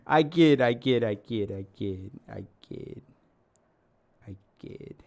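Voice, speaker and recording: A male streamer talking to his chat in a loose, rambling way, loud and profane with lots of joking. His voice is gravelly with plenty of fry and sounds hoarse, as if he is losing it. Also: mid-range voice, animated, slow pace. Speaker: livestreamer. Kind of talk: casual stream chat to viewers.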